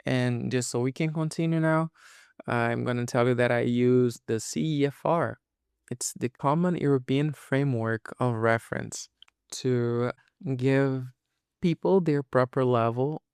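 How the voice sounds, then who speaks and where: Casting: male podcast host, native Portuguese speaker, informal explainer, noticeable vocal fry, very dry close sound. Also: medium pitch, darkish tone, no echo. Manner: informal, explanatory, often checking in with "you know" and "okay". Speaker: podcast host and English teacher. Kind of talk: casual podcast monologue to listeners.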